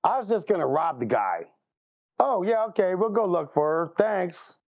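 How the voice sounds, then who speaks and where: A man with a creaky, gravelly voice of middling pitch banters casually during a tabletop game. The sound is slightly dull and lo-fi. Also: big pitch swings, moderate pace, darkish tone.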